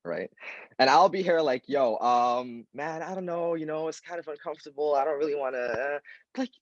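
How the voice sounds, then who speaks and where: A young man speaking at an open mic panel, talking earnestly and directly and quoting people in lively voices. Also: on the high side, moderate pace, no room sound, dull audio. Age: young adult.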